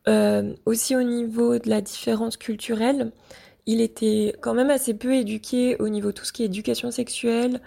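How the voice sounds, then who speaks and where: A woman's voice: a little high, flat delivery, moderate pace, vocal fry, warm tone. A young woman giving a personal testimony, hesitant and full of fillers. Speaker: interviewee.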